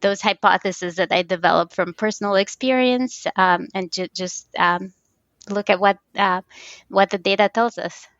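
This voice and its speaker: A woman in her thirties from Romania, a guest on an interview, speaking in a measured way with frequent ums. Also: medium pitch, fairly even intonation, a bit bright, no echo, dull audio. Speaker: data scientist guest. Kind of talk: conversational interview.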